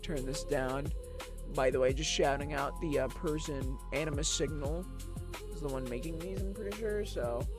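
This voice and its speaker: A tired young man streaming, chatting loosely with viewers and reading chat aloud, his strained throat a little raspy. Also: fairly high pitch, moderate pace. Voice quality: slightly raspy. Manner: rambling, giggly, reads chat aloud. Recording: music playing behind voice.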